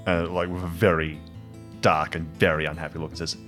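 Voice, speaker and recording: Really deep-voiced guy playing an rpg, casual improv, bit of music behind. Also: level tone, fairly quick, fairly bright tone. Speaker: player in an actual-play role-playing podcast. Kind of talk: casual improvised roleplay and narration.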